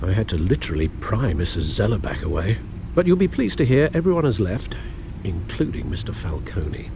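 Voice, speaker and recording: Wry old butler in a game, lowish n expressive, droll, noisy background. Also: male voice, fairly quick, creaky. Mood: dry, reproachful. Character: older male butler, wry, dry, fatherly and concerned. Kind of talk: video game voice acting.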